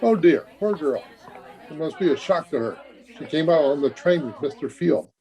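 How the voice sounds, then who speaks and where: A woman's voice: low-pitched, animated, moderate pace, warm tone. A woman playing a gossipy, nosy older hotel guest in an old-fashioned radio drama, chatty and fussy. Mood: concerned, nosy. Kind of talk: 1940s radio drama acting. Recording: faint chatter behind, video-call performance.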